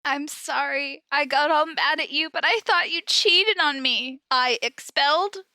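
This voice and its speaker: A woman podcast host with an expressive, giggly way of talking, switching between comedic dramatic reading and casual chat. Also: sits high, measured pace, no room sound.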